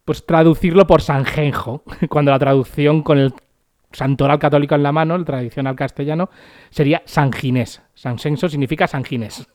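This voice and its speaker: A man's voice: fairly high pitch, steady tone, normal speed, a little mellow, no echo, studio quality. Wry Madrid-accented man explaining. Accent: Madrid Spanish. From Madrid, Spain. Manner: wry, explanatory, laughs at his own jokes. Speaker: podcast host. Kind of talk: explanatory podcast talk.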